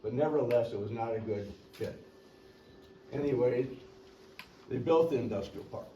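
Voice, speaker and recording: Older guy rambling thru a local story, mellow low voice, echoey room mic from far off. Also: moderate pace. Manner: rambling, folksy, clears throat often. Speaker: local resident speaking at a public meeting. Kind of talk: storytelling public comment. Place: community meeting room. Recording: echoey meeting room, distant mic, faint hiss.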